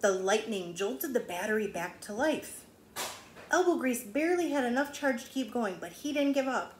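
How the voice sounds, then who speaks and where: A woman's voice: fairly high pitch, animated, moderate pace. Female storyteller for young children, emphatic teacherly read-aloud with asides, roomy echo and light background noise. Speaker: children's teacher reading a picture book aloud. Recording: echoey room with faint background noise.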